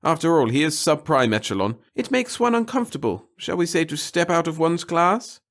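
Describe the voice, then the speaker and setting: Fussy little male clerk, acted by a man, obsequious and pompous, pitched up high, sci-fi audiobook character with florid, babbling delivery. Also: sing-song, fairly quick, a bit bright. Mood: flustered, eager.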